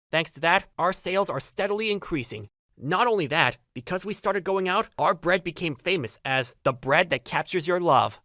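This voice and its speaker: A woman voicing an earnest, protective young male baker in a manga dub, sincere and steady. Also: sits low, dry recording, clean studio sound. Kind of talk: manga dub dialogue and narration.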